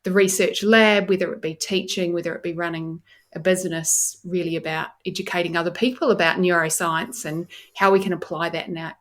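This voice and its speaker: A woman in her forties with a New Zealand accent, explaining and telling stories as an enthusiastic, expressive podcast guest. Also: fairly low pitch, brisk. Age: 40s. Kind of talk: conversational interview, explaining and storytelling.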